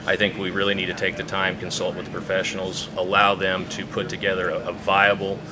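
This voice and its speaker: A man giving unscripted interview answers as an official, explaining things in a halting, measured way. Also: deep voice, completely flat delivery, background noise. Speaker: public safety radio official being interviewed.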